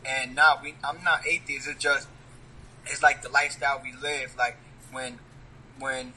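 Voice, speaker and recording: A man's voice: medium pitch, little pitch movement, normal speed, pushed and bright, slight background noise, rough recording. A man, a rapper talking casually in an interview, leaning on street slang and asking "you get what I'm saying?". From New York.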